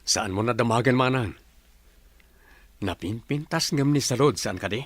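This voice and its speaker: A man's voice: mid-range voice, moderate pace, no echo, lightly acted. A man playing an earnest, coaxing wealthy father in an audio drama. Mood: matter-of-fact. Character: earnest wealthy father reuniting with his young child.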